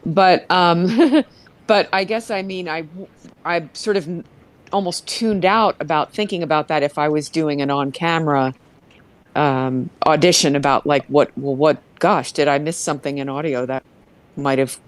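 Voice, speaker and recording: A man's voice: a little high, moderate pace. Man, casual podcast panelist, hesitant delivery, searching for words. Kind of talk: casual chat.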